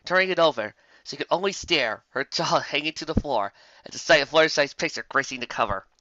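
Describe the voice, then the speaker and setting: A story narrator with a mid-pitched, sharp and forceful voice. The delivery is dramatic scripted reading with small stumbles. It sounds close and dry, recorded at home with slightly dull fidelity. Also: lively intonation, fairly quick, dry recording. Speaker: fan fiction narrator.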